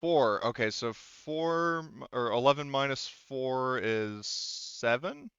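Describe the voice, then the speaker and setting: A man's voice: mid-range voice, expressive, slow, a bit bright, clean tone, no echo, highs cut off. A man acting as game master, casually narrating a tabletop roleplaying game, swearing and laughing readily.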